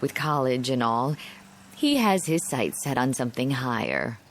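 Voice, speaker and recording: An actress playing a chatty, hospitable small-town housewife with a genteel, effusive manner in a radio drama. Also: female voice, rumbling low, expressive, normal speed, a little hiss or hum. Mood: neighborly. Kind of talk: naturalistic radio drama dialogue.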